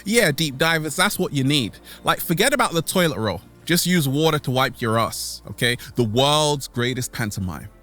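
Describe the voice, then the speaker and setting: A man's voice: medium pitch, lively intonation, a bit bright, faint music behind. A man speaking as a vlog host in a playful, theatrical motivational monologue, drawing words out for emphasis. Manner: theatrical, playful, repeating catchphrases, with drawn-out emphasis. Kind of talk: motivational monologue to online followers.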